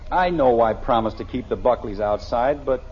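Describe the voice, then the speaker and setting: A man's voice: mid-range voice, moderate pace, lightly acted. An actor with an American accent playing a harried, exasperated middle-aged father in an old radio sitcom. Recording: muffled vintage radio, noise, studio audience.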